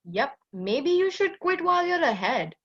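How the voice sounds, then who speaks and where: A woman's voice: sits high, big pitch swings, moderate pace, fairly bright tone, highs cut off. A woman playing a sardonic, impatient masked starship crew member in a sci-fi audio drama, with a dry, sarcastic delivery.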